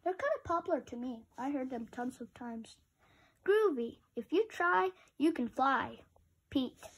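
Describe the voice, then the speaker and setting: Female read-aloud host, high pitch, slow delivery, informal and childlike in commentary. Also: animated, slightly rough. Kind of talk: reading a picture book aloud with casual asides to children.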